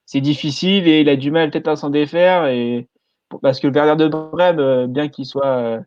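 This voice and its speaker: A man talking casually on a podcast, hesitant and filling pauses with euh. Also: on the high side, steady tone, darkish tone, clean tone, no room sound, dull audio, faint music behind. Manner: hesitant, many fillers. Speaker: podcast panelist.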